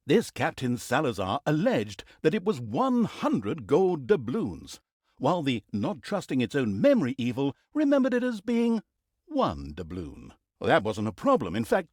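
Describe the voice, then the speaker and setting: Animated, wry fantasy game narrator. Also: male voice, fairly high pitch, husky, dry recording, clean studio sound, exaggerated voice. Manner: arch, droll, theatrical. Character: wry, theatrical male storyteller of an evil fantasy tale. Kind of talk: comic fantasy storybook narration.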